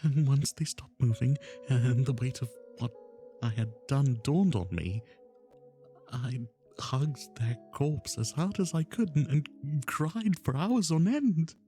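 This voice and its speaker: A man playing an obsessive, lovesick kidnapper in an intimate roleplay ASMR monologue, speaking in a confiding, anguished and menacing way, often dropping into a breathy whisper. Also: mid-range voice, expressive, takes it slowly, dark tone, faint music behind. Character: obsessive, lovesick male yandere kidnapper, tender yet menacing.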